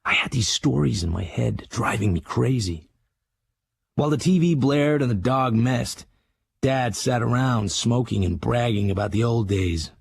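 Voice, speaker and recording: An actor playing a haunted, obsessive middle-aged crime writer, narrating his dark memoir in terse confession with a gravelly, husky American voice. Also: mid-range voice, measured pace. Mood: bleak, confessional. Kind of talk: scripted first-person crime memoir narration.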